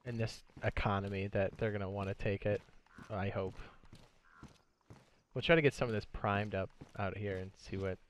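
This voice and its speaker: A man's voice: fairly low pitch, normal speed, slight background noise. A man streaming a video game, talking casually and thinking aloud as he plays. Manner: relaxed, chatty, thinks aloud while playing. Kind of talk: casual live gameplay commentary.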